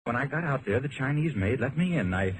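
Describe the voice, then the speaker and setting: Guy playing worried honest captive in old radio play, hesitant, average pitch, noisy old tape. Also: animated, fairly quick, close to an everyday voice. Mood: anxious, earnest. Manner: earnest, hesitant. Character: earnest, troubled male bonding agent held captive. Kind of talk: old-time radio drama acting. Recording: noisy vintage radio recording.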